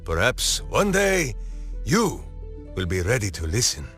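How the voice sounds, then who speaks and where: An actor playing a big, eccentric heroic warrior from a fantasy game, bellowing and grandly theatrical. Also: male voice, on the low side, huge pitch swings, measured pace, a bit bright, faint music behind, heavily acted. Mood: earnest.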